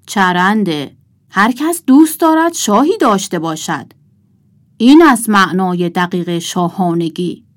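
A woman's voice: mid-range voice, lively intonation, close to an everyday voice. Woman playing a pompous storybook toy king. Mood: haughty. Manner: pompous, formal, declaiming. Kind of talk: children's storybook character.

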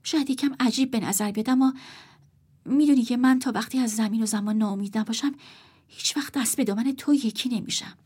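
A woman's voice: fairly high pitch, darkish tone, husky. A woman voicing a desperate young woman, pleading earnestly with her brother.

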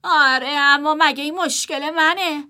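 A woman voicing a surly, unkempt young man who grumbles and drags his words slowly. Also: sits high, lively intonation, sharp tone, voice pushed up. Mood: gruff. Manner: grumbling, dragging slow words.

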